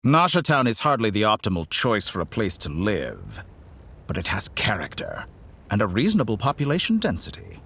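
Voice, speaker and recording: An actor playing an urbane, condescending video game villain with a formal, theatrical, smugly polite delivery. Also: male voice, fairly low pitch, huge pitch swings, moderate pace, creaky, fairly bright tone, slightly rough, no echo, exaggerated voice. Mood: smug, coaxing. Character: urbane, condescending male villain with godlike powers.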